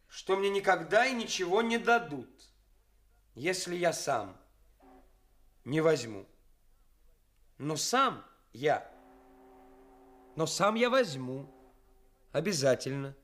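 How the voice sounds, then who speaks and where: A brazen, slow-talking young swindler. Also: male voice, high-pitched, sing-song, a bit bright, full character voice. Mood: boastful. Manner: pompous, deliberate, emphatic pauses. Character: brazen young actor posing as cynical swindler fiancé. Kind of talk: Soviet radio play acting. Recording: studio radio play with music.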